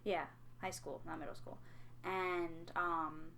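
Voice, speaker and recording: A young woman co-hosting a casual podcast, with a soft, soothing voice, telling long, rambling stories full of ums and likes. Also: lowish voice, flat delivery, slow pace, creaky, slight background noise. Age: young adult. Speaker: podcast co-host. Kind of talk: casual chat.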